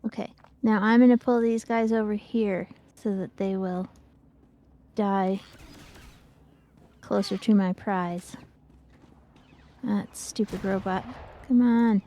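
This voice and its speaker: A woman's voice: mid-range voice, fairly even intonation, takes it slowly, pauses often, mellow. A woman chatting casually as she plays a video game as co-host of a livestream. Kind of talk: casual chat while playing a video game.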